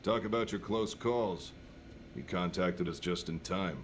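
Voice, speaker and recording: A man's voice: sits low, a little slow, a little hiss or hum. A man playing a cold, businesslike government official in a dramatic video game cutscene. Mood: cool, official.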